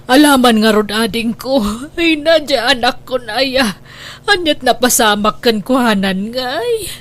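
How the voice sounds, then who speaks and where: A woman's voice: fairly high pitch, expressive, a little slow, a bit bright. A woman playing an anxious, devout and loving grandmother in a radio drama, with a tender, lamenting manner.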